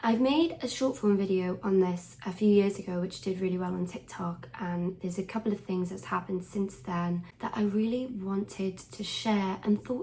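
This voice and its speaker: Frank young woman with gravelly fry. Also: mid-range voice, rough recording. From Wales. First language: Welsh. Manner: frank, conversational, drops to soft near-whisper at times. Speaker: fashion commentator. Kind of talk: casual talking-to-camera commentary.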